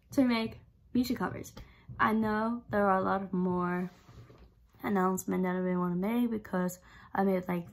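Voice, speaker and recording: A young woman with a low voice, vlogging to camera in a casual, rambling way that repeats itself, recorded close on a device in her bedroom. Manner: rambling, repeats herself, earnest. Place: bedroom, indoors. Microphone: device camera at close range.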